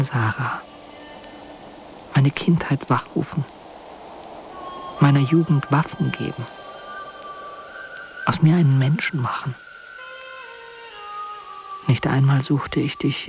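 An actor playing a tender, wounded lover in a poetic radio drama, speaking in hushed, intimate, halting phrases. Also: male voice, mid-range voice, steady tone, a little hiss or hum, poor audio. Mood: tender, melancholy. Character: tender, wounded lover addressing his beloved Sarah.

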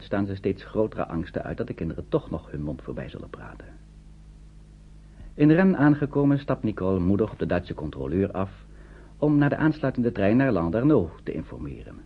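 Low-voiced man narrating an old radio play. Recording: muffled old radio recording, faint hum.

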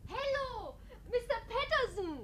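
High-voiced, swooping flirtatious lady. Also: expressive, measured pace, a bit bright, exaggerated voice. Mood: delighted, gushing. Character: flirtatious, restless married English lady craving escape. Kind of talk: German radio drama acting.

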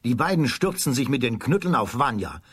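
A male narrator with a raspy, medium-pitched voice in a very dry studio. He tells a children's story in standard German, reading expressively from a script. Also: very animated, a little fast, no room sound.

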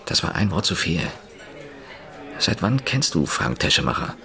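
Male journalist, low voice, gravelly, urgent and confiding, radio play. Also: sing-song, moderate pace, pushed and bright, heavily acted. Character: tense male journalist with a secret. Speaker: journalist character.

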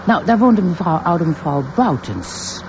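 Casting: a young policewoman for radio drama, lively pitch swings, informal, a touch flustered, clear background noise. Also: measured pace, heavily acted. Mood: chatty, matter-of-fact.

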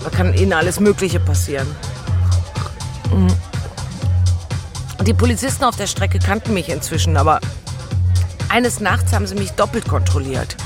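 An actress playing a blunt, worldly German expatriate manager, talking casually and dropping in bits of English, with music underneath. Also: female voice, lowish voice, expressive, normal speed, pauses often, close to an everyday voice. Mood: matter-of-fact, hardened. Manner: blunt, colloquial, drops in English phrases. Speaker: turnaround manager. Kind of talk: documentary-style monologue, casual talk.

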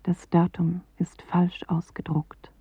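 A performer reciting as a grave, solemn narrator in a literary radio play, with a breathy, husky voice and measured delivery. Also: medium pitch, level tone, dark tone, no room sound. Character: grave poetic narrator reciting bleak apocalyptic images. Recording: narrow phone-like 1980s radio recording.

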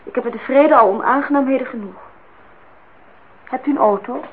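A woman's voice: high voice, fairly quick, phone-quality sound, lightly acted. An actress playing a forthright, teasing young woman in a naturalistic radio drama, on a noisy old recording. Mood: teasing, challenging. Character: cool, teasing, independent young woman visitor.

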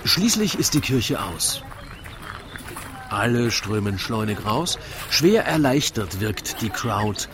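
A man narrating a scripted rhyming story in a theatrical, deliberate storytelling manner. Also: lowish voice, sing-song, background noise.

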